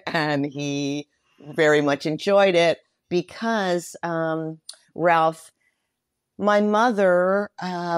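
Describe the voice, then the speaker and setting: A woman's voice: deep voice, dry recording, clean studio sound. A mature woman giving a casual interview as a guest, effusive and reflective about her life and work. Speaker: guest, writer, director and voice performer.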